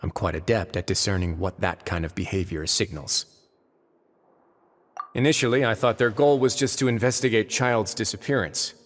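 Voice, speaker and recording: Man as a smooth-talking, shrewd commander, lowish voice, formal, wry, game dub, long reverb. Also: close to an everyday voice. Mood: assured. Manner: formal, wry, unhurried phrasing. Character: suave, shrewd, self-assured man in authority. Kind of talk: English game dub acting. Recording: long hall reverb, music underneath.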